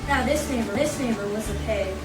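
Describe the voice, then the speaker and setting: High girly voice narrating a school stage story, woman, echoey, loud music behind. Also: fairly quick, far from the mic. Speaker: narrator in a reader's theater.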